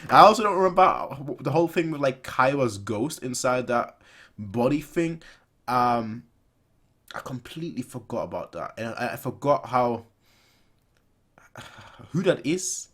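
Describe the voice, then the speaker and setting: A man in his twenties giving casual, off-the-cuff reaction commentary in an animated, rambling way, repeating phrases for emphasis. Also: medium pitch, unhurried, pauses often. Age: 20s. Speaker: reaction video host. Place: small room at home, indoors.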